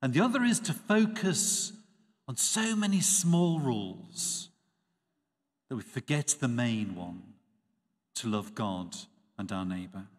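A man, a priest giving a measured, expressive Sunday homily at a church lectern. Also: medium pitch. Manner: measured, expressive preaching with dropping phrase ends. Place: church sanctuary. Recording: reverberant church acoustics.

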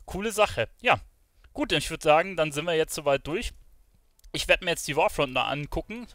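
A man's voice: on the high side, lively intonation, normal speed, a bit bright, no echo, studio quality. Man casually commentating a video game. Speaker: gaming streamer. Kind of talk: casual let's play commentary.

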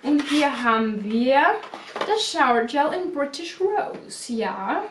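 Young woman, twenties, high voice, a little stuffy, rambling vlogger chat, distant camera mic in an echoey room. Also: big pitch swings, slow pace, slight background noise. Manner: chatty, repeats words, many fillers. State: slightly stuffy from a cold. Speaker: beauty vlogger. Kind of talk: casual unboxing chat. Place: small bedroom studio indoors. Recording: roomy echo, a bit distant.

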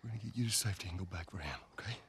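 An actor playing a middle-aged American father in a video game, protective and desperate, gruff and pleading. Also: low voice, racing, a little hiss or hum. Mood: nervous pleading. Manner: urgent, pleading, tender. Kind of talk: dramatic video game acting. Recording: loud music behind.

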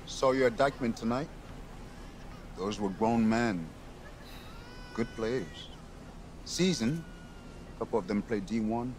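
A man playing an earnest middle-aged basketball coach in a video game, with a measured, persuasive way of talking. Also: mid-range voice, expressive, a little hiss or hum. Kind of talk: cinematic video game acting.

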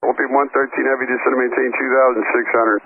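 A man directs air traffic in a fast, unvarying voice. He uses procedural phrases as an approach controller, heard through a lo-fi radio link. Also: medium pitch, level tone. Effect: aviation radio. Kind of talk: rapid radio instructions.

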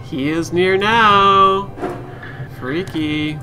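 Casting: male game streamer, fairly high voice, thinking aloud casually over game music. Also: drawn-out words. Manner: musing aloud to himself and viewers. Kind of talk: casual live let's play commentary.

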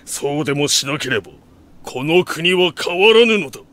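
An actor playing a proud, iron-willed warlord in an audio drama, speaking in solemn, imperious, archaic grand speech. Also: male voice, on the high side, animated, normal speed, sharp tone. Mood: grand, commanding. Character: proud, iron-willed male warlord bent on remaking the nation. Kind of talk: Japanese audio drama acting.

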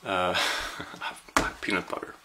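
A man's voice: moderate pace, pushed and bright, poor audio. Rambling young Swedish-accented man. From Sweden. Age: young adult. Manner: rambling, self-deprecating, sleepy. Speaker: vlogger. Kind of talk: casual vlog. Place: small apartment indoors. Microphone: handheld camera at arm's length.

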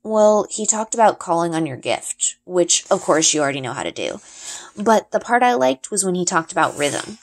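A woman's voice: on the low side, very animated, normal speed. A woman reads as a young boy in a children's storybook. He is an easygoing, dry-humored friend who explains things casually and a little hesitantly, with varied intonation. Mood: patient explaining.

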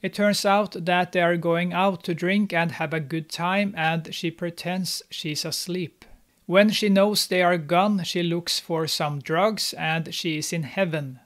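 A young man with a fairly high voice narrates a film recap, steady and scripted. His tone stays snarky and mocking as he pokes fun at the characters. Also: a little slow. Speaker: movie recap host. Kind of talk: sarcastic movie recap narration. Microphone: studio mic, on camera.

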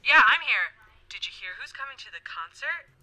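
A woman plays a girl chatting casually on a phone call in a short demo clip. Her voice is fairly high and very bright, with lively swings in pitch. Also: fairly quick, heavily acted. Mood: chatty.

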